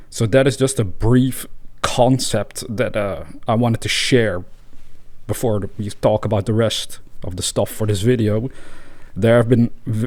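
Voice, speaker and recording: A man with a Dutch accent, a native Dutch speaker, hosting a spiritual podcast in a calm, reflective monologue with pauses and fillers. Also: mid-range voice. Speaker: podcast host.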